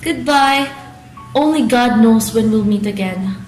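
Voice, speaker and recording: A woman's voice: a little high, a little slow, a little mellow, lightly acted. A young woman playing a troubled daughter, outwardly obedient and inwardly fearful, in a student radio drama. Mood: uneasy. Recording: roomy echo, music underneath.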